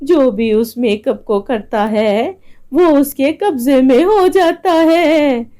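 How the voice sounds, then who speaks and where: A woman voicing a kindly old village woman in a story, gently and earnestly giving motherly advice. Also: sits high, lively intonation, slow. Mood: advising.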